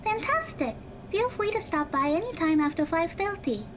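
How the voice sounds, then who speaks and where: A woman's voice: extremely high pitch, lively intonation, moderate pace, background noise. A woman playing a kind teenage schoolgirl in an audio drama, with a girlish voice and a polite, earnest manner. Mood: friendly, cheerful.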